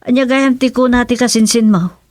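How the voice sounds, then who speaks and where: A woman's voice: fairly high pitch, fairly even intonation, moderate pace, darkish tone, dry recording, natural voice. Curious Ilocano neighbour woman played by an actress, dry sound. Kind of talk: Ilocano radio comedy drama acting.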